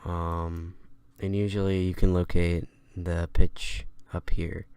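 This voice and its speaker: A man giving a casual, unscripted software tutorial in a hesitant, halting way. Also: low voice, little pitch movement, smooth, no room sound. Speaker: tutorial host.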